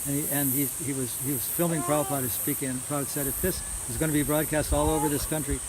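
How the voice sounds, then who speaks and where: A man's voice: on the high side, fairly even intonation, rapid-fire. A man giving an informal religious talk full of recollections, often repeating words as he searches his memory. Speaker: religious lecturer. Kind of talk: informal reminiscing lecture. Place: housewarming gathering, small live audience. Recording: noisy outdoor-like recording with insects. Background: loud hiss and chirping crickets.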